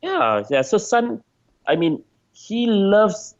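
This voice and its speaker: A man's voice: sits high, big pitch swings, takes it slowly, dull audio. A man being interviewed as a game designer, talking casually and chattily, often repeating words as he searches for phrasing.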